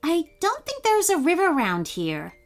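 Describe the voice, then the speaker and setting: A woman's voice: extremely high pitch, wildly swooping pitch, moderate pace, soft background music, voice pushed up, exaggerated voice. A woman voicing a cautious young girl who sounds hesitant and worried. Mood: nervous.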